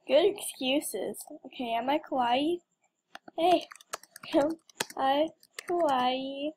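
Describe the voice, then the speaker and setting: A woman's voice: high-pitched, slow pace, a little mellow, slight background noise. A young woman giving casual, playful game commentary with mock drama and exclamations. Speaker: gamer recording gameplay.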